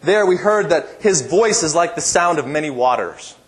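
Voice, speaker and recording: A pastor delivering a sermon in a church with a strong, powerful voice and an emphatic, repetitive preaching cadence. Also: male voice, a little high, expressive, normal speed, husky.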